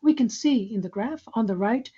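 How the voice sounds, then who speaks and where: A woman speaks in a somewhat high yet very mellow voice. She delivers a scripted business presentation, formal and measured. The sound is a little muffled, as on a conference call. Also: animated, husky. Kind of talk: scripted corporate financial presentation.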